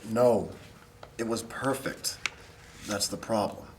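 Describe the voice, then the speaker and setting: A man's voice: lowish voice, slow pace. Man playing awkward lovestruck college guy, faint noise. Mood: distressed. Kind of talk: amateur table read of drama script. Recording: faint noise, amateur room recording.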